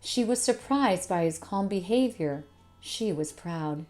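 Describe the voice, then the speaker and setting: A woman hosts a storytime, narrating a children's book. Her pace is very unhurried and her pitch a little low, with faint music underneath. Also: expressive, warm tone.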